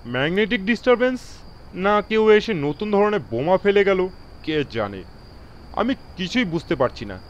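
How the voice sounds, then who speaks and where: Railway guard, acted by a man: alarmed, commanding, emphatic, very animated, dull-sounding horror radio play track. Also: high voice, slight background noise. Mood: grave warning. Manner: emphatic, mixes English phrases into his speech. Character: alarmed, authoritative railway guard telling of strange events. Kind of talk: dramatised horror audio story.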